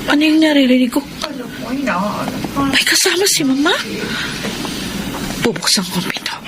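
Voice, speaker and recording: A woman's voice: high-pitched, expressive, normal speed, very noisy. A woman acting a resentful, righteous adult daughter in a radio drama, earnest and emotional. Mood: hushed, curious.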